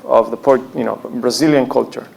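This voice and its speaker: A man who founded a company, answering an audience question on a panel with a halting manner, often restarting his sentences. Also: medium pitch, steady tone, gravelly, low-quality recording. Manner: halting, many restarts, soft laughs. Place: conference room, before an audience.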